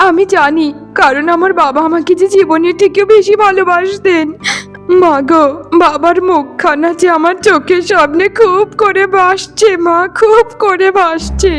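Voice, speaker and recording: A woman plays a devoted grown daughter mourning in a cartoon melodrama. The voice is high and plaintive, full of sentiment. Faint music sits behind it. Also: normal speed. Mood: sorrowful, tender. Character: tearful, devoted grown daughter grieving her father. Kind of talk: melodramatic Bengali cartoon dialogue.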